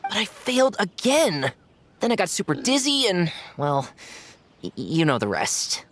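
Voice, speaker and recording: A woman's voice: lowish voice, wildly swooping pitch, unhurried, a little hiss or hum. A woman voicing a young boy who is an imaginary friend, earnest and impulsive. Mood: explaining. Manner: earnest and pleading. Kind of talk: video game voice acting.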